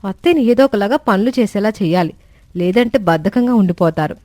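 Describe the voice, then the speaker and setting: A woman's voice: medium pitch, animated, fairly quick, a little mellow, dry recording, clean studio sound, close to an everyday voice. A woman voicing a clever young daughter-in-law in a cartoon story, respectful yet teasing. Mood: coaxing. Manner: respectful but cheeky.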